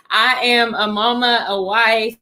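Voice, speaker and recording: Bright-voiced Texas woman, upbeat. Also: on the high side, little pitch movement, takes it slowly. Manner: upbeat, laughs easily. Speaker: podcast guest. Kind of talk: casual interview chat.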